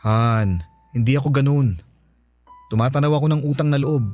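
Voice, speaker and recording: A man's voice: mid-range voice, normal speed, darkish tone, natural voice. A man with a Filipino accent playing an apologetic, sweet-talking, humble husband in a radio drama. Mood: earnest, loving. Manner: pleading, sincere, coaxing. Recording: music under the voice.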